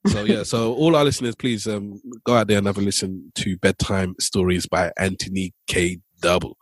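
A man's voice: fairly low pitch, moderate pace, no room sound. A man co-hosting a podcast in casual chat, loose and joking, often repeating himself. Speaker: podcast co-host.

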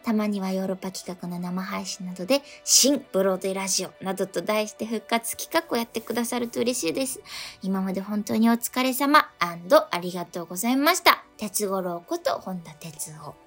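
A woman's voice: mid-range voice, fairly quick, a bit bright. A woman co-hosting a radio show, politely reading listener letters aloud and chatting. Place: radio studio. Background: music playing behind the voice.

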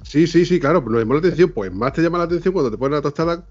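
A man's voice: on the high side, expressive, racing, a little mellow, lo-fi sound. A man hosting a podcast, telling stories in a casual, jokey way and laughing often. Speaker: podcast host. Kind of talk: casual chat.

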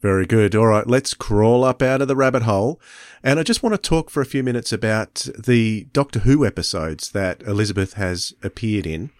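A man with a fairly low voice co-hosts a podcast in a casual, conversational way. The sound is dry and close, with little room on it. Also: lively intonation, moderate pace, hardly any pauses, no echo. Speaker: podcast co-host.

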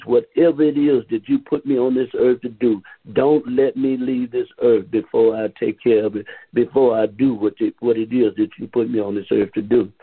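Church member testifying by phone: husky man, earnest and repetitive, tinny line. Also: medium pitch. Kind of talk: testimony on a church conference call. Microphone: telephone.

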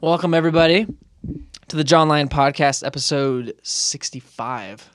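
A man's voice: on the high side, normal speed, fairly bright tone, dry recording, studio quality. A man with an American accent hosting a podcast and chatting in a loose, informal, conversational way. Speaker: podcast host and interviewer. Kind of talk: casual podcast chat.

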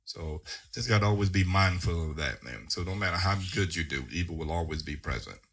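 A man's voice: low-pitched, animated, fairly bright tone, husky. A man co-hosting a casual podcast chat, teasing and joking with his friends. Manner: teasing, drawn-out talk. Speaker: podcast co-host.

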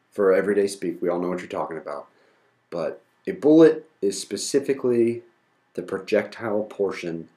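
Deep-voiced man with a head cold. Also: a little mellow. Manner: methodical, defines terms step by step. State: congested with a cold. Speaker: podcast host. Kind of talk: educational podcast explanation.

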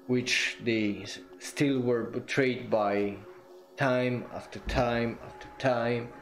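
A man's voice: mid-range voice, steady tone, slow, low-quality recording. A man hosting a podcast, talking casually and bluntly about history, rambling with many fillers, restarts and the odd swear word. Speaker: podcast host.

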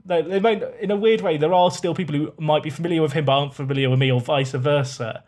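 A man with a somewhat high voice chats as a podcast guest. His delivery is fairly monotone and self-mocking. The talk is relaxed. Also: fast. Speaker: guest content creator. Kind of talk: casual podcast chat.

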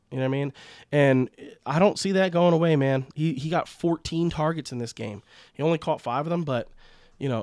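A congested man with a cold talks through a game recap in a loose, rambling way, stumbling over restarts and leaning on fillers. His voice sits at an ordinary middle pitch. It is recorded close and dry, with no room sound. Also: no room sound. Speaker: fantasy football podcast host. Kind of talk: casual solo sports recap.

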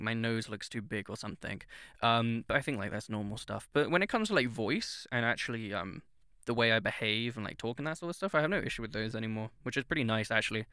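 A man's voice: mid-range voice, bright, forceful tone, clear, resonant tone, no echo, clean studio sound. A young man hosting a podcast, talking in a chatty, self-deprecating way with lots of fillers, recorded on his own microphone at home. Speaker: podcast host. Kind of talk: casual podcast chat. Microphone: headphones monitored through his own microphone.

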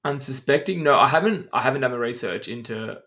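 A man co-hosting a relaxed podcast chat. He talks in long, meandering explanations peppered with ums as he rattles through a list of names. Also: medium pitch, fast talker. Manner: explains at length, runs through names with many "um"s. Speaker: podcast co-host.

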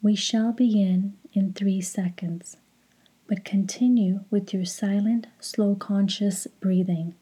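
A woman leading a guided meditation in a hushed, breathy voice, giving calm, soothing, deliberate instructions. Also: medium pitch, poor audio.

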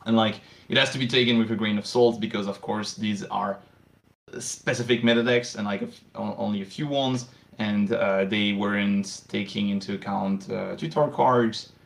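A man's voice: lowish voice, steady tone, normal speed, gravelly. A man answering interview questions as a game designer, hesitant, with many fillers and restarts. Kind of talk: casual podcast interview.

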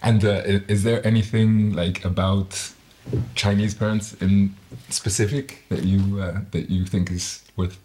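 A man interviewing in a casual conversation, speaking reflectively and haltingly, often reworking his sentences as he goes. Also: lowish voice, level tone, a little mellow, slight background noise. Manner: reflective, halting, self-correcting.

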